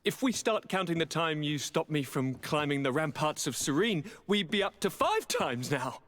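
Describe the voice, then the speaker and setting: An actor playing a cheeky, blithe young highborn gentleman in a fantasy game, with a breathy voice and wry, courtly, theatrical manner. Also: very animated, normal speed. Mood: wry, affectionate. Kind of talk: dramatic fantasy game acting.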